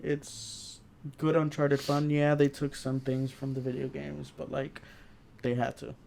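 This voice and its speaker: A man talks casually as a podcast co-host reviewing something. He pieces his thoughts together haltingly, with a faint layer of noise behind him. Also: mid-range voice, little pitch movement, vocal fry, a little mellow.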